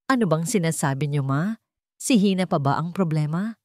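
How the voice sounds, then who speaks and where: A woman's voice: low-pitched, lively intonation, moderate pace, darkish tone, no echo. A stern billionaire father, voiced by a woman storyteller, wounded and protective. He speaks curtly and confronts people in this romantic audio story, recorded very dry. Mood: challenging.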